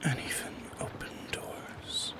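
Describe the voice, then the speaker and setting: A man voicing a lonely, shy shadow creature in an ASMR roleplay, speaking in a soft, halting, intimate whisper with a creaky edge. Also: lightly acted. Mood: anxious musing. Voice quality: breathy whisper with creaky edges.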